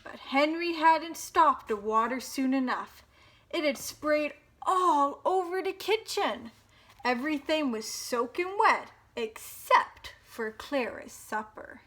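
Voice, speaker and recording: A woman hosting storytime, reading a children's picture book aloud in an expressive, dramatic way for young listeners. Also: high-pitched, slow.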